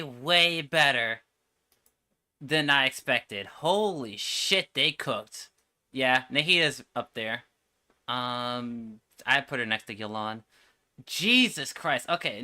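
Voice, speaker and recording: A man gives casual reaction commentary as host, blunt and given to repeating himself. His voice is very bright and forceful, and he takes his time. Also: medium pitch.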